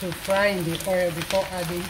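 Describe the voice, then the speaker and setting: A woman with a hoarse, croaky voice calmly talks through a recipe as she cooks at home. Pots clatter and oil sizzles in the background, clearly audible under her voice. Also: lowish voice, fairly quick. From Nigeria. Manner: relaxed, explains each step while cooking. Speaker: cooking channel host. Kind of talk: casual cooking narration. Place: home kitchen. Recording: noisy kitchen. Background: pots clattering and food frying.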